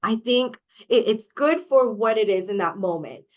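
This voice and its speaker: A woman from Hawaii talks as a guest in a relaxed interview. She speaks candidly and openly, often starting her sentences with "um". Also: fairly high pitch, normal speed, muffled recording. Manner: open, candid, with many fillers. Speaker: guest, coaching client. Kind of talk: casual interview.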